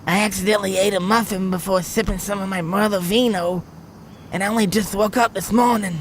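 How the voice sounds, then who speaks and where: A man voicing a snappish, easily exasperated suburban housewife in a creaky voice for a comic audio story. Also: high-pitched, fairly even intonation, a little fast, fairly bright tone, slight background noise, voice pushed up. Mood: rueful.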